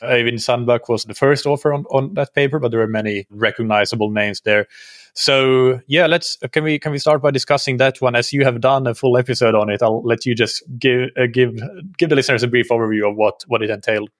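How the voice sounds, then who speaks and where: A man hosting a podcast in a casual, conversational way, somewhat halting as he forms his questions. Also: mid-range voice, steady tone, dry recording, clean studio sound. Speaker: podcast host.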